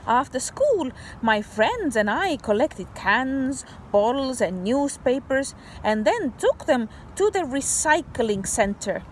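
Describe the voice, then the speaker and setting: A woman's voice: high-pitched, sing-song, slow pace, few pauses, a little hiss or hum, low-quality recording. A woman teacher reading a picture book aloud to young children, patiently explaining things in simple words.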